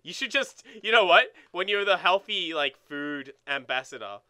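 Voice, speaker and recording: A young man with a fairly high, very bright and clear voice chats casually as a gaming co-host. He rambles, leans on "like" and swears freely. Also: lively intonation, moderate pace. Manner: rambling, filler-heavy with frequent "like". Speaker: let's play co-host.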